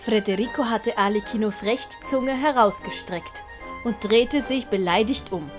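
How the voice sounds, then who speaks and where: Woman storyteller, slightly high voice, reading kids audiobook, music under it. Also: normal speed. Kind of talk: scripted audiobook narration for children.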